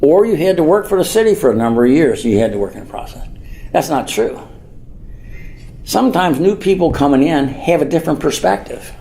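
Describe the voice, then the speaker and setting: A man's voice: mid-range voice, mellow. An older man from the United States giving an informal public speech as a mayor, rambling and earnest, repeating phrases for emphasis. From Norwood, United States.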